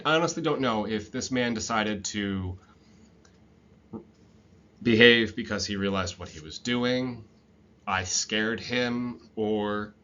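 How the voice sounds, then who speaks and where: Man in his 30s telling a class a story, bright voice, kinda muffled recording, lots of ums. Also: on the low side. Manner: relaxed, reflective storytelling with frequent fillers. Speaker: instructor. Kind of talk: storytelling lecture.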